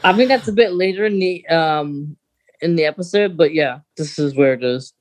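A woman's voice: sits low, moderate pace, darkish tone. A woman co-hosting a podcast, giving a casual, conversational recap of a story. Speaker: podcast co-host.

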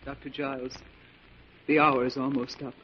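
An actor playing a tense, resigned prisoner awaiting death, in dramatic 1940s radio style. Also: male voice, medium pitch, flat delivery, moderate pace, natural voice. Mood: tense, bitter. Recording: muffled, hissy 1940s radio recording.

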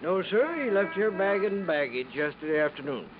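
An actor playing a folksy, nosy but helpful apartment house manager in a 1950s radio drama, chatty and colloquial. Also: male voice, sits high, sing-song, normal speed. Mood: suspicious. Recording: muffled, noisy 1950s radio recording.

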